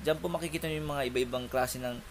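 A man's voice: fairly high pitch, fairly even intonation, fast talker. A young man giving a polite, chatty walkthrough of a coffee shop, filmed on a handheld phone. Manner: chatty, polite tour-guide narration. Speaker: vlogger. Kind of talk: casual walkthrough vlog. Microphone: handheld phone camera. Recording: noisy cafe with air conditioning.

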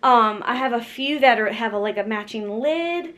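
A woman's voice: on the high side, expressive, normal speed, fairly bright tone. Chatty young woman vlogging in her kitchen. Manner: chatty, upbeat, filler-heavy with lots of "like" and "um". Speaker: lifestyle vlogger. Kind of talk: casual chatty vlog. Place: home kitchen, indoors. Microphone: camera close up at arm's length.

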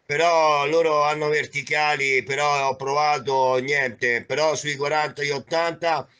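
Guy with a bright, highish voice rambling on the cb radio, repeats himself a lot. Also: fairly even intonation, measured pace, clean tone. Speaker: amateur CB radio operator. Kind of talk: casual radio chat.

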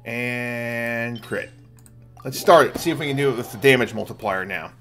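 A man's voice: mid-range voice, moderate pace, fairly bright tone. A middle-aged man giving casual live commentary as he plays a game. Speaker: game streamer. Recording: game music behind the voice. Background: game music.

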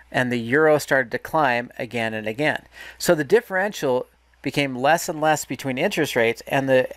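A man's voice: mid-range voice, dry recording. A man walking through a market analysis lesson over a screen recording, explaining step by step with restarts and self-corrections. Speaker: market educator.

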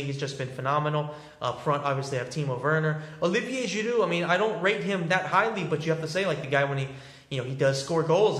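A young man in his twenties with a slightly high voice gives an off-the-cuff sports reaction. He talks conversationally and doubles up words to stress his points. The sound is echoey, as from a phone or webcam at arm's length in a small bare room. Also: a bit bright. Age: 20s. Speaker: sports commentator giving a post-match reaction. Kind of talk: casual unscripted analysis to camera.

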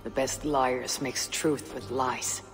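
Stern Viking warrior, music behind. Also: female voice, extremely low pitch, fairly even intonation, slow. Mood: grave counsel. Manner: terse, stern, guarded. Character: stoic, hardened female Viking warrior. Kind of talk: dramatic video game acting.

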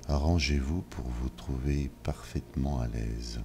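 Man, very deep voice, mellow, guided relaxation, soft music underneath. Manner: calm, measured instructions with long pauses. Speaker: yoga nidra guide. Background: soft ambient music.

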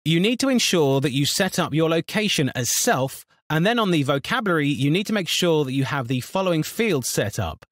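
A man narrating a scripted software tutorial in an instructional, step-by-step manner. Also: on the high side, sing-song, brisk, hardly any pauses, dry recording, clean studio sound.

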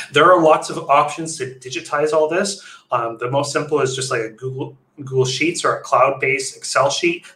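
A man's voice: mid-range voice, pushed and bright. A man in his thirties giving an informal webinar lecture as a brewery consultant, with some fillers and restarts. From Canada. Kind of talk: slide presentation lecture. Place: home room, indoors. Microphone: webcam video call. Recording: echoey room on a webcam mic.

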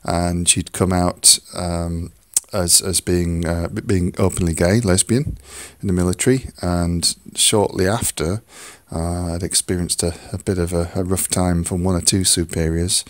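Hoarse, low-voiced man hosting a podcast. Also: level tone, no echo. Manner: halting, many fillers and restarts. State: hoarse, croaky from a cold. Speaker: podcast host. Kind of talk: casual solo podcast talk.

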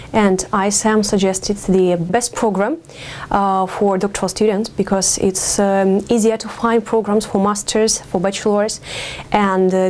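A young woman in her twenties from Ukraine with a Ukrainian accent, giving thoughtful interview answers as a doctoral exchange student and pausing often with fillers. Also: medium pitch, darkish tone, a little hiss or hum. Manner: thoughtful, many fillers and pauses. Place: studio with campus backdrop.

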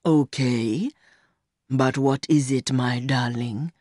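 A woman voicing an older rich man, a smooth, condescending and fatherly secret lover in an audiobook story. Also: very deep voice, slow, a little mellow, no echo, clean studio sound, voice pushed down, full character voice. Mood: smooth, probing. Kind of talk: acted dialogue in audiobook story.

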